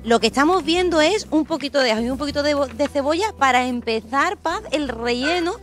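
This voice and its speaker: A woman's voice: high voice, lively intonation, a little fast, fairly bright tone, soft background music. A woman, reporting live on a cooking segment with a handheld mic, chatty and upbeat. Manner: chatty, upbeat, prompting the chef. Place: outdoor seaside restaurant terrace, then indoors.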